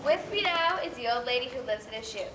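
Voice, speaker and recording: Echoey distant stage recording, woman playing earnest high-pitched lady reporter for fairy tale news. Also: animated, fast, pushed and bright. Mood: earnest, reporting. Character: earnest female nursery-rhyme news reporter. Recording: echoey stage, distant mic, faint noise.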